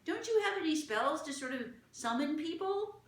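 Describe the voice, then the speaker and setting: A woman voicing an eager, excitable young girl who talks high and quick. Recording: roomy echo.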